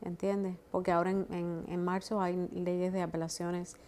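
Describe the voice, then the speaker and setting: A woman's voice: on the low side, flat delivery, normal speed, darkish tone. A woman immigration lawyer explaining legal options in an interview, often affirming with short agreements. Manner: explanatory, affirming with repeated "exacto". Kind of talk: expert interview.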